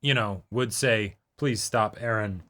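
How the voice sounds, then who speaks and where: A man co-hosting an anime reaction show in casual discussion, measured and agreeable, with dry understatement. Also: fairly low pitch, a bit bright.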